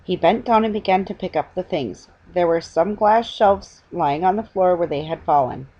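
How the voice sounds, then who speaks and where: Middle-aged female storybook reader, lowish husky voice, halting delivery, dull webcam sound. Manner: halting reading with stumbles and self-corrections. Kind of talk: storybook read-aloud. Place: small living room at home, indoors.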